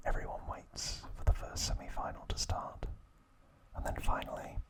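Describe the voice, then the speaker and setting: A man narrating ASMR trivia in a soft, breathy whisper, phrasing everything deliberately. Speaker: ASMR host.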